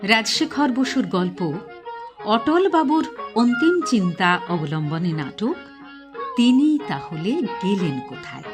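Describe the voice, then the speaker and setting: A woman radio announcer giving the credits in a measured, formal way. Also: medium pitch, big pitch swings, music in the background. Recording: radio broadcast with plucked strings behind.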